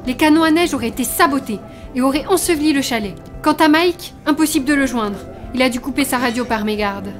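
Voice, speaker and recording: A woman playing a brisk, rule-minded ski resort employee, businesslike and categorical, in a comic audio drama. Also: sits high, soft background music, natural voice. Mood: matter-of-fact. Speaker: amateur voice actor in an audio series.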